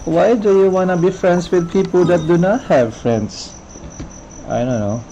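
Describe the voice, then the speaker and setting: A man's voice: high-pitched, a little slow, dark tone, dull audio. A man chatting casually on a game livestream, musing and rambling as he goes. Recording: noisy stream with game sounds behind.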